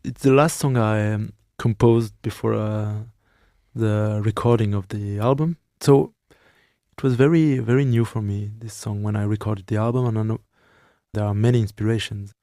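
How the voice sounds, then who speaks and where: A young man from France who speaks natively, talking haltingly in an interview, searching for words with many pauses. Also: on the low side, fairly even intonation, mellow, dry recording, clean studio sound. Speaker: musician guest. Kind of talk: interview answers.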